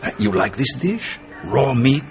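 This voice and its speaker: An actor playing a genial, shrewd Turkish intelligence chief in a radio drama, with a Turkish accent, a husky voice and an expansive manner. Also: male voice, mid-range voice, big pitch swings, a little slow, muffled recording, soft background music. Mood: warm, confiding. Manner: expansive, tags sentences with a questioning "eh".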